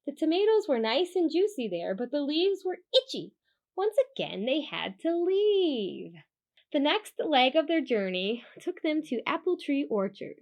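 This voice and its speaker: A woman reading a children's storybook aloud in an expressive, emphatic way, with informal asides. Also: sits high, unhurried. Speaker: children's storybook reader and host. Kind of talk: animated children's read-aloud with casual asides.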